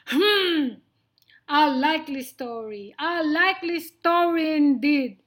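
A woman's voice: sits high, slow, fairly bright tone, voice pushed up. A woman voicing a big, boastful, grumpy male lion in a children's storybook, growling and blustering with scorn. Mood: gruff scorn.

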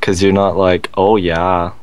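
A man's voice: low-pitched, level tone, unhurried. A man in a casual group voice call, arguing back and pushing his own view, heard through a computer mic. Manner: argumentative, pushes back on others. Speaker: Skype call participant.

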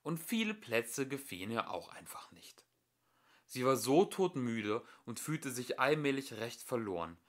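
A man's voice: mid-range voice, big pitch swings, unhurried. A man narrating a children's story expressively, as an amateur audiobook reader.

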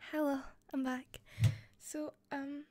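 A woman with a softened Scottish accent playing an attentive hostess in a roleplay, speaking softly into a close mic with frequent giggles and whispers. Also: high voice, little pitch movement, slow, no echo. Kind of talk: listener-directed roleplay.

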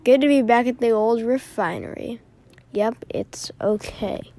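A woman doing amateur scripted character dialogue for an animated story, with a drawn-out, unhurried delivery. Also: on the high side.

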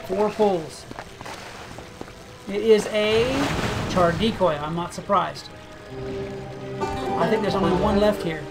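Laid-back gamer thinking out loud while playing, mid pitch, game music going the whole time. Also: slow pace, long pauses. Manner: thinking aloud, musing and chatty. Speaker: game video creator. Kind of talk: casual gameplay commentary.

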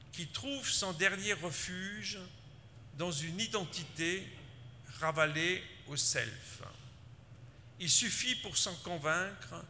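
Bright, slow-speaking man giving a talk. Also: high-pitched. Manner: measured, formal delivery. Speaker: panel speaker. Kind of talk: reading a prepared talk. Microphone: handheld mic. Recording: hall reverb with faint room noise.

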